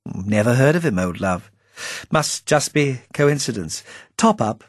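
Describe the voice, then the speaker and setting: Guy doing a breezy posh english spy boss, suave and affable, husky, really lively pitch, audiobook style. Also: measured pace, no room sound, clean studio sound. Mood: affable, confiding. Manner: jaunty upper-class patter, drawling endearments. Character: chipper, suave British spymaster, breezy and affable.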